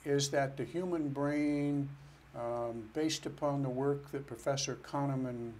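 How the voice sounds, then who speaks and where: A man's voice: medium pitch, fairly even intonation, unhurried, a little mellow. A man who is a financial planner, answering interview questions in an earnest, step-by-step way. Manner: earnest, explains step by step with restarts.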